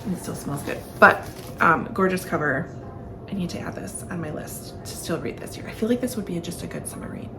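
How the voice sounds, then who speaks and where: A woman's voice: fairly low pitch, animated, a little fast, music in the background, rough recording. A woman talking casually and enthusiastically as a book reviewer in a chatty, informal vlog style. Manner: enthusiastic, informal, often gushing. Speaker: book reviewer hosting a video channel. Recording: clearly audible background room noise.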